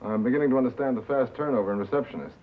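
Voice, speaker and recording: An actor playing a wry, guarded, quietly troubled radio reporter in a 1950s film drama, with dry, understated, clipped replies. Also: male voice, medium pitch, racing, natural voice. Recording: tinny, noisy 1950s film soundtrack.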